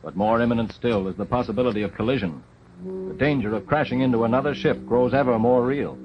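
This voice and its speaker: A man's voice: medium pitch, fast, a crowd in the background. A man reading scripted wartime documentary narration in a dramatic, solemn 1940s newsreel manner. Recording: dull 1940s film soundtrack.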